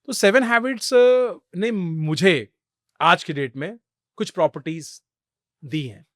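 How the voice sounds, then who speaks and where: A man's voice: high-pitched, slow pace, no room sound, studio quality. A middle-aged man from India, a podcast guest telling stories in a conversational way, often dropping English phrases into his Hindi. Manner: mixes Hindi with English phrases, animated storytelling. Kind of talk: conversational interview storytelling.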